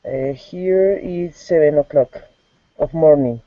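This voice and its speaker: A man from Colombia speaking in halting, hesitant beginner English as a student in an online class. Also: on the high side, no echo. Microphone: video call. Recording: dry, lo-fi video call line.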